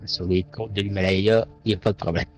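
Brief: deeper-voiced man, laid-back player, dry asides. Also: fairly even intonation, fast, faint music behind. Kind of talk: casual chat at a tabletop game.

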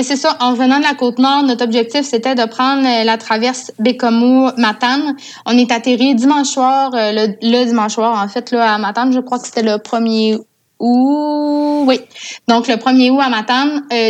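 A woman with a Quebec accent and a fairly high voice speaks as a guest in a relaxed interview. She tells her stories conversationally, with plenty of enthusiasm. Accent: Quebec French. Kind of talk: casual conversational storytelling.

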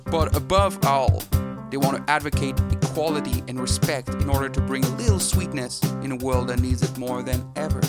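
A male podcast host speaks in a casual, informal way. His voice sits at a middle pitch. Guitar music plays prominently behind him. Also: fairly quick. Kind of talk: casual podcast introduction and interview chat.

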